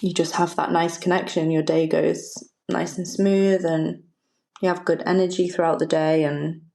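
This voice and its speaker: A woman in her thirties from Liverpool with a softened Liverpool accent, a podcast guest chatting in a rambling way and laughing often. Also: fairly low pitch, little pitch movement, normal speed, gravelly. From Liverpool, England. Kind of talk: casual chat.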